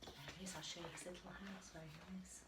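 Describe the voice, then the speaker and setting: A woman reading a children's picture book aloud like an infant school teacher, animated and emphatic, with chatty asides. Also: on the low side, very noisy. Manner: animated, deliberate storyteller with emphatic delivery. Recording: roomy, echoey indoor sound.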